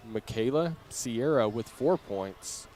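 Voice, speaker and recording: A man doing an unscripted live halftime recap as a sports announcer, conversational and halting as he reads out stats. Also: mid-range voice, lively intonation, darkish tone. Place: high school game venue, beside fans. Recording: live venue with background noise. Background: faint ambient venue noise.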